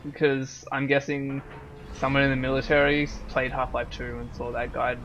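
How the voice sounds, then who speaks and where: A man riffing through casual gaming commentary, joking and rambling as ideas occur to him. His voice sits at an ordinary middle pitch, with a faint hiss behind it. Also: completely flat delivery, normal speed, a bit bright, muffled recording. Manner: joking, rambling, playful riffs. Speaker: let's play commentator.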